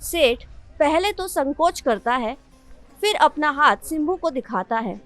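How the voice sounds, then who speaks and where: A woman narrates a children's cartoon story in a high-pitched voice. Music plays behind her as she reads the scripted tale. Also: animated, measured pace, a bit bright. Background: background music.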